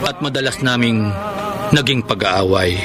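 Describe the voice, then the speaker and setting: A man's voice: mid-range voice, a little slow, natural voice. A man playing a hardworking, suspicious live-in boyfriend in a Filipino radio drama. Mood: regretful narrating. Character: Joe, suspicious hardworking live-in boyfriend telling his story. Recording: radio drama with music bed.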